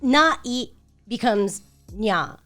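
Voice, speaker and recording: Young woman, podcast guest, chatty and curious, mid-pitched voice, casual talk, faint music behind. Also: lively intonation, drawn-out words, pushed and bright. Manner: chatty, wondering, laughs easily.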